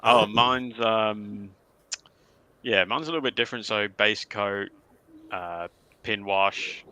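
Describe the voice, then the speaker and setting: A man with a fairly low, strikingly bright and forceful voice chats casually on a hobby panel. He explains things in plain conversational terms. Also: steady tone, slow, smooth.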